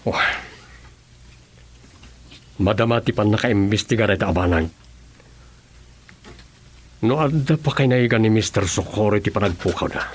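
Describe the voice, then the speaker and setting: A Filipino man playing an earnest, troubled official in a radio drama, speaking seriously and deliberately. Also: lowish voice, a little hiss or hum, lightly acted.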